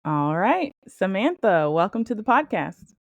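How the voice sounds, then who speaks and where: A woman's voice: mid-range voice, sing-song, a little slow, no room sound. A woman hosting a podcast, upbeat and friendly, asking her guest questions. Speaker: podcast host. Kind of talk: podcast interview.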